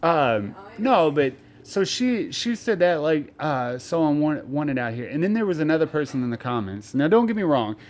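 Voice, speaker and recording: A man's voice: fairly high pitch, very animated, moderate pace, hardly any pauses. A young man co-hosting a podcast in casual banter, telling rambling stories full of swearing and filler words. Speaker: podcast co-host.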